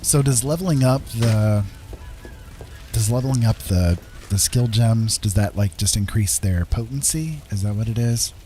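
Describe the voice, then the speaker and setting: A man's voice: lowish voice, normal speed, slight background noise. A middle-aged man giving casual, easygoing live gameplay commentary, thinking aloud as he chats with viewers. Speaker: game streamer. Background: loud game music.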